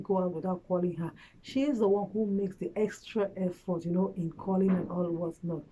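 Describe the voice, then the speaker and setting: A woman giving relationship advice in a casual, earnest vlog chat, her voice hoarse from a cold. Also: lowish voice, mellow, low-quality recording. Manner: chatty, earnest, frequent fillers. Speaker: relationship vlogger. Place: at home late at night.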